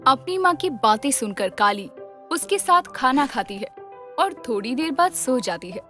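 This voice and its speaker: A woman giving scripted storybook narration as the narrator of an animated tale. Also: high-pitched, normal speed, faint music behind.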